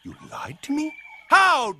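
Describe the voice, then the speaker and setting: Animated adventurer rogue, exclamatory, bright piercing voice, super animated pitch. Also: male voice, measured pace, slight background noise, full character voice. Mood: mock outrage. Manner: exclamatory, theatrical. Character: excitable roguish adventurer posing as a god. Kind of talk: animated film acting.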